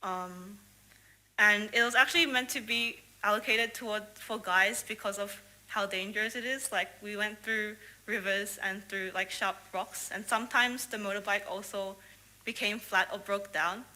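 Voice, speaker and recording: A woman's voice: medium pitch, fairly even intonation, normal speed, sharp tone, low-quality recording. A young woman from Australia sharing a testimony about a mission trip with a congregation, earnest, with frequent fillers. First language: English. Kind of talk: prepared testimony talk to a congregation.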